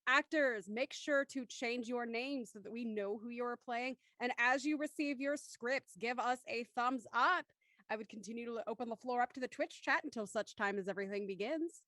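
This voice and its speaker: A woman's voice: a little high, normal speed, fairly bright tone, no echo. A woman hosting a live online show in a chatty, encouraging way. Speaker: host and narrator.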